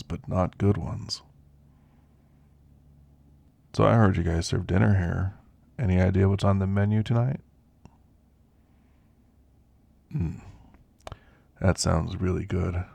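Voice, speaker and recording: A man playing an elderly, weathered werewolf with a gravelly, husky voice, reminiscing in a wry, understated way in an ASMR roleplay. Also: deep voice, darkish tone, no echo, heavily acted. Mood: wry, easygoing. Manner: slow, understated, reminiscing storyteller. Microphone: close, dry microphone.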